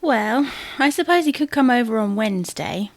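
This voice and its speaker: A woman's voice: fairly high pitch, animated, moderate pace, no room sound, close to an everyday voice. A woman playing an exasperated, sarcastic wife who distrusts technology in a domestic comedy audio drama. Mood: irritated, sarcastic. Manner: exasperated, sardonic. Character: nagging, sarcastic, technology-averse wife suspicious of her husband.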